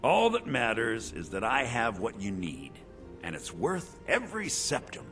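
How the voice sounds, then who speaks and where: An actor playing an eager, oily, greedy shopkeeper in a fantasy video game, with theatrical, ingratiating sales patter. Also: male voice, medium pitch, wildly swooping pitch, a little slow, fairly bright tone, soft background music. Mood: sly, confiding. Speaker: general store merchant.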